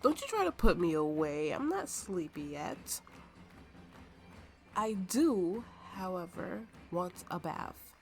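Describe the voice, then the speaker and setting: A woman's voice: on the low side, sing-song, slow pace, exaggerated voice. A woman voicing a drunken, playful and imperious warrior woman in an ASMR roleplay. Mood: giddy, imperious. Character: tipsy, haughty, flirtatious warrior woman with a slave. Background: soft background music.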